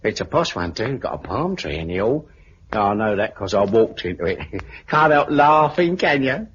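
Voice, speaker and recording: Cheery cockney sidekick, chummy and slangy, voice bouncing about, old muffled radio play. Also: male voice, fairly low pitch, big pitch swings, normal speed. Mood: gleeful, chatty. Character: Alf, cheerful, chatty working-class male henchman. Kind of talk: 1950s British radio drama acting. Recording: muffled, noisy 1950s radio recording.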